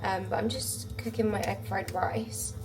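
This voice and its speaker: A woman's voice: medium pitch, steady tone, measured pace, far from the mic, music in the background. A young woman with a blocked-up, congested voice, chatting casually to camera in her home kitchen and rambling with lots of "like". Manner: rambling, filler-heavy, says "like" constantly. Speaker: vlogger. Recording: echoey room with background noise.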